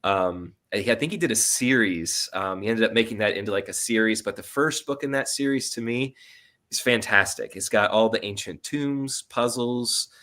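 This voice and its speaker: Male interview guest. Crisp bright tone, lowish pitch, informal, thinks out loud. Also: moderate pace. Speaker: guest writer and sound designer. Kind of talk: casual interview chat.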